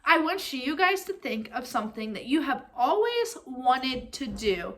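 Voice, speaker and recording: A woman reading a picture book aloud like a teacher, expressive and speaking directly to young listeners. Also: high-pitched, unhurried, a little hiss or hum. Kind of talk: read-aloud storytelling with comprehension questions.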